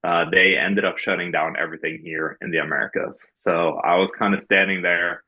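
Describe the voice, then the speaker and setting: Deep-voiced raised man, muffled audio. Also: fairly even intonation, fairly quick, sharp tone, breathy edge. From Norway. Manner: many fillers and restarts, earnest storytelling. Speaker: guest. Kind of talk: podcast interview.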